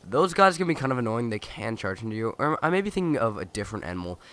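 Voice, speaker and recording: A young man with a cold, his nose stuffed and his voice raspy, rambling chattily and correcting himself as he comments on a video game. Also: mid-range voice, sing-song, fast talker, sharp tone. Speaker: let's play gamer. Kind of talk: casual gameplay commentary.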